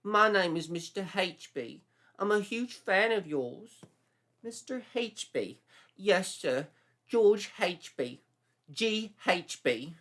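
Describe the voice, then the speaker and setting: A man's voice: high voice, lively intonation, slow, fairly bright tone, pitched above the performer's own voice, heavily acted. A man voicing an eager, starstruck young male fan.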